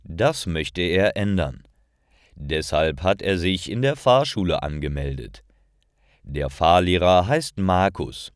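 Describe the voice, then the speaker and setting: A man reading a scripted story for language learners, with careful, clearly separated phrasing. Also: sits low, very animated, slow pace, no echo, studio quality. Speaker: narrator of language-learning stories.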